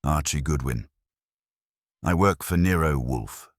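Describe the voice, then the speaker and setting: A man plays an unflappable detective's legman in an audiobook mystery. The voice is extremely low and dryly recorded. He tosses out wisecracks coolly. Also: takes it slowly, no echo, exaggerated voice. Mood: cool, wry. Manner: dry, cool, wisecracking. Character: wry, unflappable male private detective's legman.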